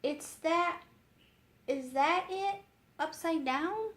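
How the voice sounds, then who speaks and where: A woman's voice: very high voice, drawn-out words, a bit bright, voice pushed up, heavily acted. A woman voicing a stubborn, eager young girl in a story. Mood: eager, unsure. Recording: roomy echo with faint noise.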